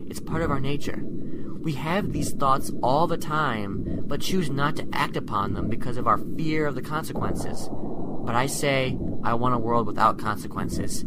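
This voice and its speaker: A man acting in a radio play as a calm, remorseless serial killer who justifies himself in a measured, philosophical way. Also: medium pitch, heavy background noise, lightly acted. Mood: zealous, defiant. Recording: loud music under the voice.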